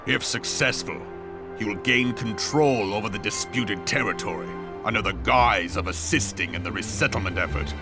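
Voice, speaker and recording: An actor playing a stern, seasoned male general who speaks gravely in formal, old-fashioned phrasing, in a game cutscene. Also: lowish voice, moderate pace, close to an everyday voice. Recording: music score underneath.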